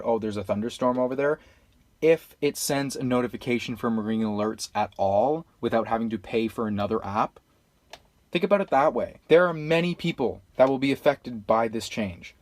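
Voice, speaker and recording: A man's voice: medium pitch, no room sound. Exasperated Canadian man, dry close sound. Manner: exasperated, emphatic, rhetorical questioning. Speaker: weather radio video maker. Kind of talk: impassioned unscripted appeal.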